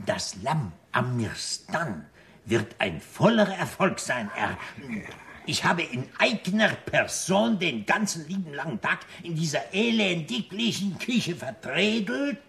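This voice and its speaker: An actor playing a self-important restaurant owner in a radio drama, florid and ceremonious, with an unctuous, sinister charm. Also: male voice, fairly high pitch, vocal fry, sharp tone, exaggerated voice. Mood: effusive, courteous. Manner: florid, ceremonious, repeats polite phrases. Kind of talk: German radio drama acting.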